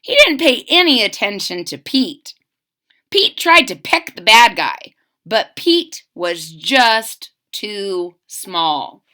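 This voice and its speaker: A woman reading a children's picture book aloud expressively, pausing to explain it simply and put questions to young listeners. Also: mid-range voice, very animated, bright, forceful tone.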